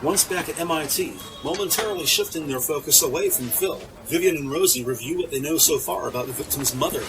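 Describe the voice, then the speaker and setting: A man narrating a scripted audio drama in a measured storytelling manner. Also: on the high side, over music, poor audio. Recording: clear background noise, ambience.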